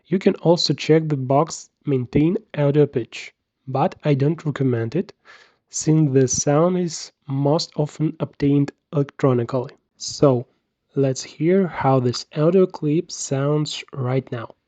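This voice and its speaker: A man narrating a scripted software tutorial, giving measured step-by-step instructions. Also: a little high, dry recording, muffled recording.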